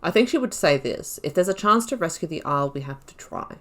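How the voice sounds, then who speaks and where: A woman's voice: sits low, lively intonation, fairly quick. A woman giving casual let's-play commentary, thinking aloud reflectively and reading out dialogue as her character. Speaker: gaming YouTuber.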